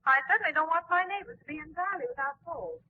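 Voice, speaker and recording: Demanding housewife caller: high-pitched, fussy, flustered, vintage radio commercial, dull thin sound. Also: female voice, racing. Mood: chastened. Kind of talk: 1940s radio commercial skit acting. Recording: tinny, muffled 1940s radio recording.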